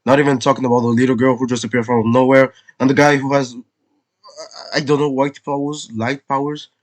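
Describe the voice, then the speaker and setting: A man's voice: medium pitch, little pitch movement, fairly quick. A man commenting casually on a video game as he plays, reacting aloud and talking back to the characters. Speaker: let's play gamer.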